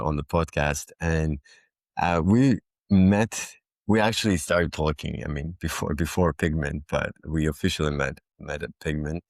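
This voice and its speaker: A man's voice: rumbling low, no room sound. A man hosting a podcast, talking casually and loosely, often restarting his sentences as he sets up questions. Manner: loose, halting, with frequent restarts. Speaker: podcast host. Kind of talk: casual interview chat.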